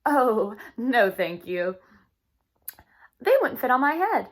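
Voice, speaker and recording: A woman's voice: a little high, very animated, slow, exaggerated voice. A woman voicing a kindly, polite male neighbour in a children's storybook read-aloud. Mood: friendly. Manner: friendly, polite.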